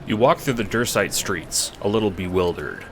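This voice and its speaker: A man's voice: fairly low pitch, animated, moderate pace, a bit bright, a little hiss or hum. A man narrating a scripted audio drama in the second person.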